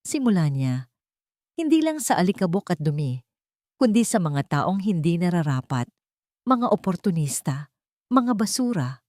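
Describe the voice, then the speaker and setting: A woman's voice: on the low side, very animated, normal speed, long pauses, a little mellow, no room sound, clean studio sound. A woman voicing a haughty, wealthy older matriarch in a storybook drama, cold, contemptuous and commanding. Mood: cold disdain.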